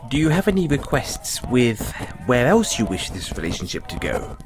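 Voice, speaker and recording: A man voicing a refined male playwright in a one-sided audio roleplay, speaking in a theatrical, drawn-out way and dropping low on each "dear boy". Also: big pitch swings, natural voice. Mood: affectionate.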